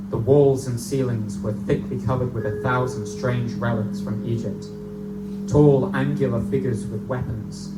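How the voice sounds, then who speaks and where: A man's voice: medium pitch, close to an everyday voice. A man playing a young Victorian medical student who narrates a gothic horror tale in formal, old-fashioned language before a live audience. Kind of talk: live dramatic reading of gothic pulp horror. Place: live performance in a bookstore. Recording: echoey distant live room recording, loud guitar.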